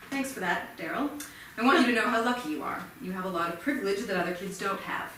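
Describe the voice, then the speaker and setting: A woman in a staged play reading playing a stern, formal high school principal with a measured, admonishing, officious manner. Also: expressive, a bit bright, close to an everyday voice. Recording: echoey theatre, distant mic, faint noise.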